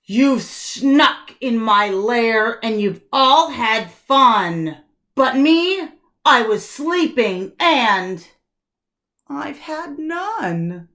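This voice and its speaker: A woman voicing a big grumbling, self-pitying bear in a children's storybook, loud and aggrieved. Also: fairly high pitch, expressive, extremely slow, heavily acted. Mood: aggrieved, pouting. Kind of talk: children's storybook read-aloud.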